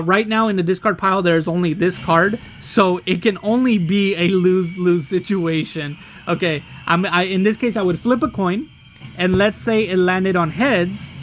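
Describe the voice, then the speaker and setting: High-voiced man walking through a game. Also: fairly bright tone. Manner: explanatory, step by step, checking with "okay?". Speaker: host demonstrating a card game. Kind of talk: casual tutorial walkthrough.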